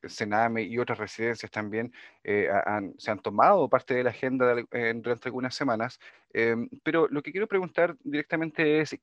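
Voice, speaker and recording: Male radio host, formal and halting. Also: mid-range voice, fairly bright tone, no echo. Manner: formal questions with hesitant fillers and restarts. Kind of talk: radio interview. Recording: dry, dull lo-fi radio audio.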